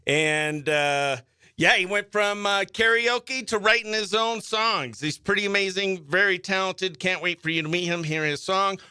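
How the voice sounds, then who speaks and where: A man hosting a morning radio show, chatting excitably and playfully through banter and giveaway patter, with music faintly behind him. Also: high-pitched, measured pace, clean tone, no echo, clean studio sound.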